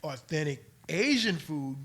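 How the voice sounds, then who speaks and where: A man's voice: on the high side, animated, measured pace, a bit bright. A man chatting casually on a podcast among friends.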